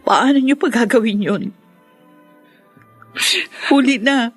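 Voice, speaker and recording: A woman's voice: a little high, takes it slowly, husky, soft background music. An actress playing a hurt, emotional younger Filipino woman in a radio drama. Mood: bitter, hurt.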